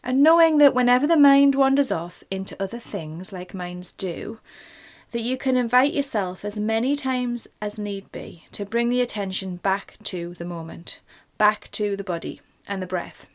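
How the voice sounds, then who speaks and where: A woman leading a guided meditation in a gentle, informal, reassuring manner. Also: medium pitch, expressive, normal speed. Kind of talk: guided body scan meditation.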